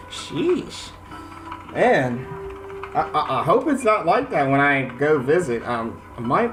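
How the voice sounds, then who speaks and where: Animated American man over game music. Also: sits high, poor audio. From United States. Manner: informal, stammering, repeats words. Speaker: gaming video host. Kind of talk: casual let's-play commentary, reading game text aloud. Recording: music behind the voice.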